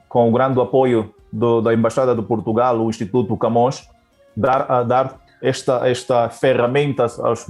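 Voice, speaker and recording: Guest teacher from Angola, male, mid pitch, halting delivery full of restarts. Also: darkish tone. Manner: hesitant, many fillers and restarts. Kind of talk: interview answers.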